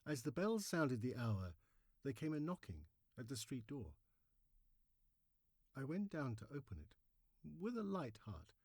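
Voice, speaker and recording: A man playing a nervous, gleefully cunning madman who confesses to murder in a dramatic horror story reading, with halting, theatrical phrasing and emphatic repetition. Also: medium pitch, darkish tone, slightly rough, no echo, clean studio sound.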